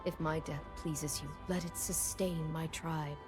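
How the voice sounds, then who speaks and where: An actress playing a proud, solemn warrior queen of an icy tribe in a video game, speaking in deliberate, commanding, dramatic lines. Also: low voice, fairly even intonation, music in the background. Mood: reverent.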